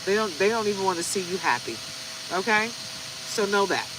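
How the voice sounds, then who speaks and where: A woman's voice: mid-range voice, expressive, a little fast, noisy background. A woman in her forties chatting casually to her viewers while she reads tarot cards. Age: 40s. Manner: chatty, talks straight to viewers. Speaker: tarot card reader. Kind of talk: casual tarot reading vlog.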